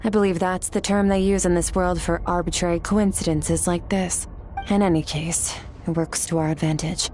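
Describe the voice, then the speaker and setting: An actress playing a cool, aloof young woman warrior who speaks in a calm, cold and cutting way. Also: on the low side, normal speed, lightly acted. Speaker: game character. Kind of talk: game voice acting. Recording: game audio with faint music.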